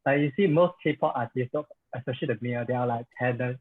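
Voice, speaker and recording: A man's voice: medium pitch, quick pace. A man from Singapore, a student asking a question on a video call, hesitant and checking with "right?". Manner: hesitant, asks with "right?" tags. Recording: muffled phone-like line over a call.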